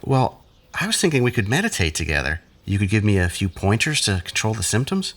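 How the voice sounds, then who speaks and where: A man's voice: on the low side, wildly swooping pitch, fairly quick, fairly bright tone, exaggerated voice. A man acting in an audio drama as an exhausted, agitated man in withdrawal, stammering and sighing, pleading and bitter. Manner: stammering, sighing, self-pitying. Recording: faint background noise.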